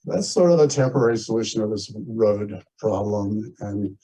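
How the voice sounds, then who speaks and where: A man's voice: fairly low pitch, lively intonation, normal speed, warm tone. An American man chairing a community meeting, explaining things plainly and informally, heard in muffled audio. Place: community meeting, shared over video call.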